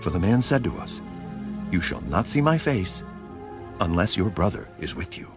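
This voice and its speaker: A man's voice: low voice, very animated, normal speed, music in the background. An actor playing a solemn, earnest grown son in a Bible audio drama, grave and emphatic in his delivery. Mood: solemn warning.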